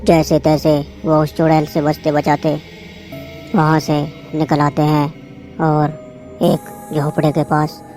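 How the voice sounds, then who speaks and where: A storyteller narrates a scripted horror tale in a mellow voice. The pitch moves very little. Faint music plays underneath. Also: monotone, slow.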